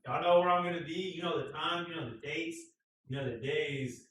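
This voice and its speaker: A man co-hosting a casual motorcycle live stream, joking and teasing with loud bursts. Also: fairly high pitch, a little fast, a little hiss or hum. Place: garage. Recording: echoey garage, far from mic.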